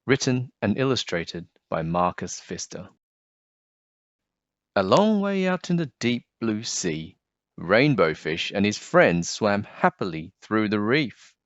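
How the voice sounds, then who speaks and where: A man narrating a children's picture book in an expressive, scripted read-aloud style. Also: on the low side, slow pace, no room sound, muffled recording.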